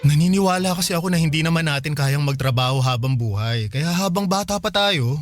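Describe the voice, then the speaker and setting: An actor playing a young, thrifty, cautious boyfriend in a Filipino radio drama, earnest and gently questioning. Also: male voice, on the high side, lively intonation, brisk, soft background music, close to an everyday voice. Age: young adult.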